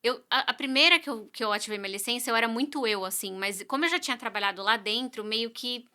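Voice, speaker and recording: A woman's voice: on the high side, fast talker, sharp tone. A Brazilian woman who works as a real estate agent, talking earnestly in a casual interview. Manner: earnest, conversational, frequent "né" and "sabe".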